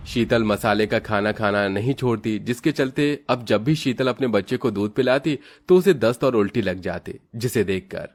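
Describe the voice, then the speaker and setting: A man's voice: fairly low pitch, moderate pace, hardly any pauses. A man narrating a scripted moral story for children as its storyteller.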